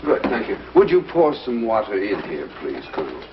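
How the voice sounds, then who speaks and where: Expressive government secretary, dull vintage soundtrack. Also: male voice, on the low side, very noisy. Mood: formal, measured. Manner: measured, formal, deliberate questioning. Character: authoritative, inquisitive male government secretary leading a demonstration. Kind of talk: mid-century American television drama acting.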